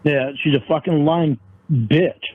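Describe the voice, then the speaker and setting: A man's voice: a little high, unhurried, slightly rough, no echo, soft background music. A man on a phone call arguing defensively, swearing and using nonstandard grammar. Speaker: caller in a confrontation. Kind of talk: heated phone argument. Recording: tinny narrow phone line.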